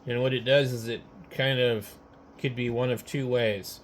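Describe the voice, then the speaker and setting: A man with a mid-pitched voice talks shop in a casual, halting way, like an amateur radio operator thinking aloud. A clear layer of noise sits behind his speech. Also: level tone. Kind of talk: casual technical chat.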